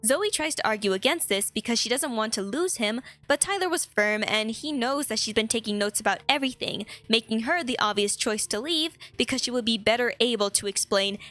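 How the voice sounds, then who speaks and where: A woman's voice: fairly high pitch, expressive, brisk, hardly any pauses, a bit bright, faint music behind. A woman hosting a video, giving a scripted but casual story recap with chatty, conversational commentary. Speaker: video host.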